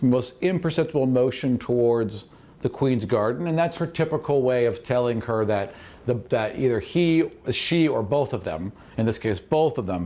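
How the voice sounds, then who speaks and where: A man's voice: medium pitch, a little mellow. A man narrating a tabletop fantasy game as its game master.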